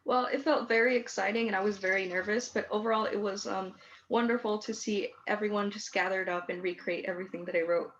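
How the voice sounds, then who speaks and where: A woman's voice: mid-range voice, fairly even intonation, quick pace, a bit bright, slight background noise. A teenage American girl, a student being interviewed on a video call, speaking hesitantly. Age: teens. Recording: dull video-call audio.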